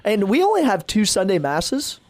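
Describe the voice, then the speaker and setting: A man's voice: high-pitched, wildly swooping pitch, normal speed, dry recording, clean studio sound. A man, a parish priest chatting casually on a podcast, rambling with lots of fillers and restarts.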